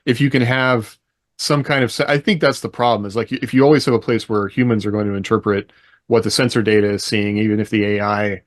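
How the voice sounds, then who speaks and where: A man's voice: on the low side, fairly even intonation, a little fast, dry recording, studio quality. A man co-hosting a podcast in casual panel discussion, restarting his sentences to qualify his points. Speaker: podcast co-host.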